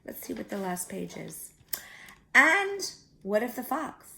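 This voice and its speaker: A woman reading a story aloud to young children like a teacher, expressively, pausing to add simple explanatory comments. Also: fairly high pitch, very animated, gravelly, bright, forceful tone, low-quality recording.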